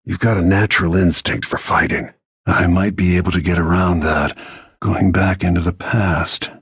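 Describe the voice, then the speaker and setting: A man voicing a grim, battle-worn middle-aged royal protector and assassin in a video game, with terse, brooding, measured delivery and a gravelly, husky voice. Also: sits low, fairly even intonation, fairly bright tone, no room sound, clean studio sound, full character voice. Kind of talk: scripted video game character lines.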